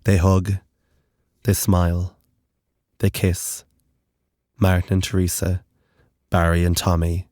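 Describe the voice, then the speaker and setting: A man delivers dramatic horror narration. His voice sits fairly low and moves slowly, phrase by phrase. The delivery is grave and deliberate, with a dry sound. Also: little pitch movement, a little mellow, dry recording.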